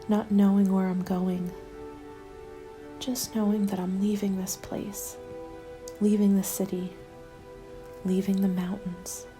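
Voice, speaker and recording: Woman as a hushed, creaky-voiced lone apocalypse survivor. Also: mid-range voice, lightly acted. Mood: weary, wondering. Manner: hushed, breathy, measured delivery. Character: weary, guarded lone female survivor journaling a zombie apocalypse. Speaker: narrator of an audio roleplay. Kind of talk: ASMR scripted first-person diary narration. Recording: music laid under the voice.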